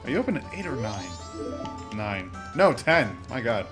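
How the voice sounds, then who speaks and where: A man's voice: medium pitch, big pitch swings, normal speed. A man hosting a let's play with casual, rambling gameplay commentary, often interrupting himself with joking asides. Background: video game music.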